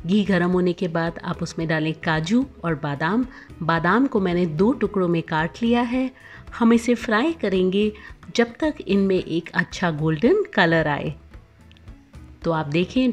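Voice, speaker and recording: A woman, host of a cooking channel, narrating a recipe voice-over with clear step-by-step instructions. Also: fairly low pitch, expressive, a little slow, soft background music.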